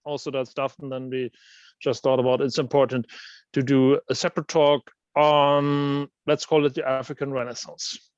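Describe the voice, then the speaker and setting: A man's voice: medium pitch, flat delivery, moderate pace, clean tone, no echo, dull audio. A man hosting an online interview, talking hesitantly with many fillers and restarts. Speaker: host.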